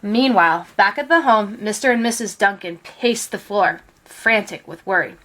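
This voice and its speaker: A woman narrating a children's picture book aloud in an expressive, careful storytelling manner. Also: medium pitch, moderate pace.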